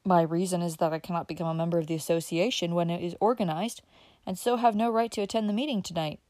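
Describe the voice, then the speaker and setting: A woman reading an audiobook gives a young male printer a calm, modest, plain-spoken voice close to her own. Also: lowish voice, fast, no room sound, natural voice. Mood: calm explaining.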